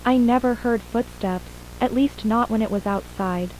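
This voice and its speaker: Casting: woman to play shaken mother and witness in horror audio drama, high, very soft-toned voice, hesitant recounting. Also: moderate pace, natural voice, rough recording. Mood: subdued, uneasy. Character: shaken mother and wife, surviving witness of an attack. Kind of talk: acted interview in an analog horror audio drama. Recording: faint hum and hiss.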